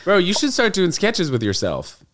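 A man's voice: sits high, wildly swooping pitch, normal speed, a bit bright, slight background noise. A young man hosting a casual podcast, laid-back and teasing, laughing along with his guest. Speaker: podcast host.

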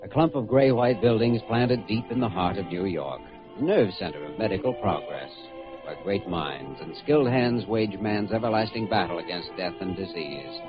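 A man playing a solemn, grand narrator in a 1940s radio drama, declamatory and measured. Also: deep voice. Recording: dull vintage radio recording. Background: loud music.

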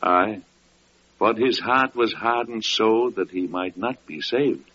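Old radio drama, kinda muffled, a meek holy old man at the gate, deep solemn gentle voice. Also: takes it slowly, husky, full character voice. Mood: earnest, fervent. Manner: solemn, meek, earnest archaic speech. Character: gentle, pious old male gatekeeper, humble servant. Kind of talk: old-time radio drama acting and narration. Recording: muffled, noisy vintage radio recording.

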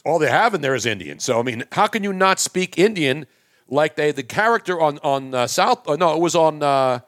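A man's voice: a little high, lively intonation, fairly quick, fairly bright tone. An older man hosting a late-night talk show, rambling and joking loosely, often losing his words mid-sentence.

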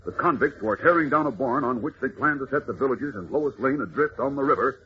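A man's voice: mid-range voice, quick pace. A man narrating an old radio adventure serial in a dramatic, urgent, theatrical way, on a muffled, noisy recording. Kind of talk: 1940s radio scripted narration.

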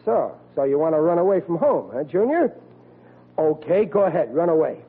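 A man's voice: fairly high pitch, big pitch swings, moderate pace, slightly rough, heavily acted. An actor playing a blustering, dim-witted father of forty in an old radio comedy, talking in a folksy, ungrammatical, cocksure way. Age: 40s. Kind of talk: old-time radio situation comedy acting. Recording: tinny, hissy old radio broadcast.

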